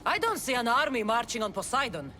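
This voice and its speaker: A blunt, expressive ancient warrior heroine. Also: female voice, on the high side, quick pace, a little hiss or hum. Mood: resolute. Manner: blunt, wry. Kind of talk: dramatic video game voice acting. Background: music.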